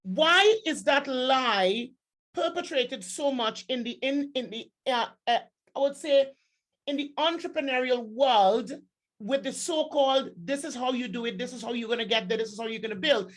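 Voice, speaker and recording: A woman hosting a podcast, chatting casually in an emphatic, excitable way and often repeating her words. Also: sits high, moderate pace. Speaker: podcast host. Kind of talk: casual interview chat.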